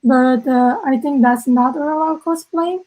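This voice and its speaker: A young woman in her twenties from South Korea with a Korean accent, co-hosting an online event over a video call and filling her pauses with "uh.". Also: sits high, a little mellow, poor audio. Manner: halting, many fillers. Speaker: event co-host.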